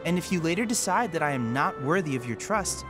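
A man acting a high, boyish young intern in a game. His speech is formal and exact, almost mechanical in wording, yet lively in pitch, with music behind it. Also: fast, natural voice. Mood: formal, analytical. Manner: formal, precise, analytical. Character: precise, earnest boyish research intern, oddly robotic. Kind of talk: video game character acting.

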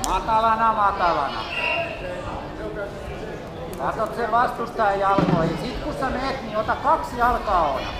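A man coaching from beside the wrestling mat, barking short repeated commands. He is picked up by a distant camera in a big echoing sports hall, with loud crowd and hall noise around him. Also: high-pitched, lively intonation, long pauses. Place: large indoor sports hall. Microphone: far camera.

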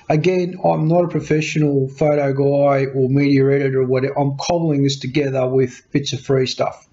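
A middle-aged man with a fairly high voice, nursing a cold, chatting loosely to a webcam. He stumbles and repeats words as he explains. The sound is dull and lo-fi, recorded in a small cluttered workshop room. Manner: chatty, repeats words, animated hand gestures. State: has a cold. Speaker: tech vlogger. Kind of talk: casual rambling explanation to camera. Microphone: webcam at desk.

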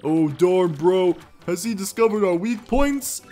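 A man doing a slow, cocky armored brute. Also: high voice, animated, mellow, faint music behind, pitched above the performer's own voice. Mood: whining.

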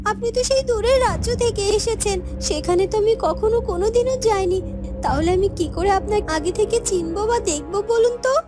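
A woman's voice: very high-pitched, moderate pace. A woman voicing a timid, earnest young village maiden in love, speaking hesitantly and tenderly in a cartoon fairytale. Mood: flustered. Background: music.

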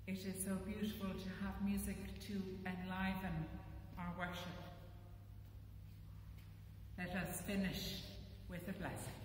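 A middle-aged woman cleric leading liturgical prayer and blessing in a measured, solemn way. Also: medium pitch, little pitch movement. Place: inside a stone church, standing at the altar. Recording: echoey church, distant microphone, faint noise.

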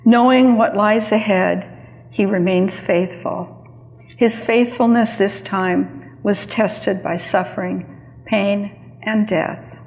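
An older woman, around her seventies, preaching a sermon in a measured, deliberate, reflective manner. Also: medium pitch, gravelly. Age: older, around 70s. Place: large church sanctuary, indoors, at a lectern. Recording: reverberant church hall.

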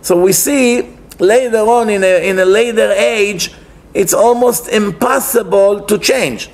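High-pitched Israeli-accented male rabbi lecturing. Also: measured pace. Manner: explanatory, emphatic, rhetorical questions, repeats phrases. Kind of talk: religious lecture, conversational preaching. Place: indoors, wood-panelled synagogue, seated at a lectern.

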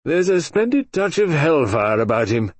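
A man's voice: a little high, big pitch swings, no echo, pitched above the performer's own voice. A man doing a drowsy, wheezing old colonel. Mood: drawling. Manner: slow, drawling, wheezy. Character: drowsy gloomy old heavyset male colonel. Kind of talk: audiobook character voice.

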